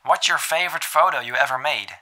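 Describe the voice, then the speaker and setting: A man reading viewer questions aloud in an even, read-out way without fillers. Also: a little high, fast talker, faint music behind, poor audio. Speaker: question reader.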